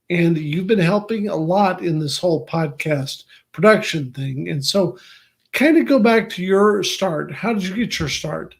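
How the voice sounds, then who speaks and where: A man with a noticeably high voice hosts a podcast from a video call. He talks in a chatty, easygoing way and keeps the guest going with quick affirmations. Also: gravelly. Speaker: podcast host. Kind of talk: casual interview chat.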